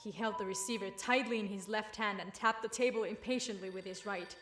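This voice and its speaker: A woman's voice: mid-range voice, steady tone, a little fast, fairly bright tone. A woman reading scripted story narration as the narrator of a radio drama. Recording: roomy, echoing voice track. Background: music.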